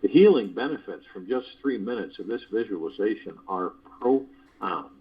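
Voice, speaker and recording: A man hosting a guided meditation on a conference call, speaking in measured, deliberate phrases with pauses, like a spiritual motivational talk. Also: on the low side, animated, slightly rough. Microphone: phone conference call. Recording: dull lo-fi conference call audio.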